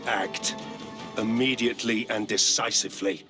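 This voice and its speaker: Actor as deep-voiced, menacing security chief. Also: male voice, fairly even intonation, close to an everyday voice. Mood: grandiose. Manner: measured, pompous, dramatic pauses. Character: male head of a private security army, smug and menacing orator. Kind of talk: rehearsed corporate presentation speech. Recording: music under the voice.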